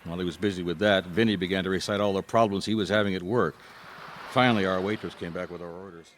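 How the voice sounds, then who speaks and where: A man's voice: sits low, steady tone, fast, a little hiss or hum, close to an everyday voice. An actor playing an easygoing American private eye, telling his story in a casual, wry radio drama style. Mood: wry storytelling. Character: easygoing male private eye recounting an old case. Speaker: lead actor and narrator. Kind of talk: conversational radio drama narration.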